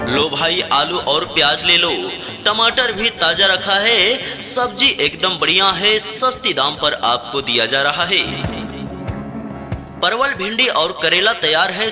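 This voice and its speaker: A man delivering a scripted loudspeaker announcement for a street vendor, calling to passers-by in a repetitive sales pitch. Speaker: street vegetable seller announcer.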